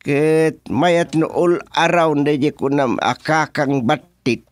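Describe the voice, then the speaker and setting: A man with a Filipino accent playing an excitable father in a radio comedy drama. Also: on the high side, slow pace. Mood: pleading, curious. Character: excitable father fretting over his lost cellphone.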